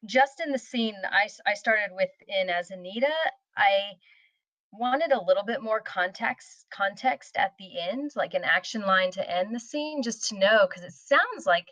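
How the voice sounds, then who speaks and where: A woman giving informal workshop notes in a conversational, tentative, gently constructive way. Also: medium pitch, lively intonation, unhurried, no room sound, poor audio. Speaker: participant giving script notes.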